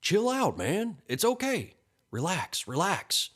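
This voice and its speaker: A man's voice: medium pitch, sing-song, unhurried. A man voicing a friendly, pushy, folksy middle-aged stranger in an audiobook story, with a funny accent. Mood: alarmed, hushed. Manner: folksy, chummy, coaxing.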